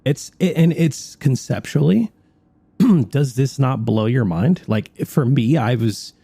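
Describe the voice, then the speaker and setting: A man's voice: mid-range voice, expressive, takes it slowly, vocal fry, mellow, husky, no room sound. A man co-hosting a radio show, explaining things in a conversational talk-show style.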